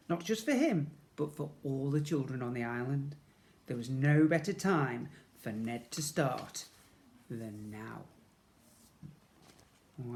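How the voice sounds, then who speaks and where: A man's voice: medium pitch, a little hiss or hum. A man narrating a children's storybook in a playful, dramatic way, acting out sound effects and leaning on repeated words. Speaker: primary school teacher reading to children.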